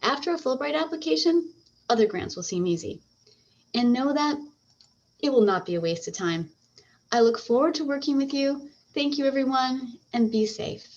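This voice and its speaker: A woman's voice: high voice, animated, moderate pace, a little mellow. Upbeat woman advisor, dull lo-fi sound. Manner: upbeat, uses filler ums. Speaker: fellowship advisor. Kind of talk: online info session presentation. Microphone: video call.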